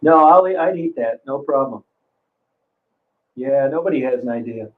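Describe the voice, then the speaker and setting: A man's voice: mid-range voice, lively intonation, normal speed. A man in his sixties chatting casually on a livestream, rambling, cursing freely and often restarting his sentences. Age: 60s. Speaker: livestream host reading chat. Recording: phone-like narrow sound.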